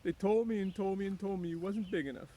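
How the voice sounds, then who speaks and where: Man voicing a high-pitched, childlike big simple-minded man. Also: steady tone, fast, dark tone, slight background noise, natural voice. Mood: sorrowful. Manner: plaintive, uneducated folksy phrasing.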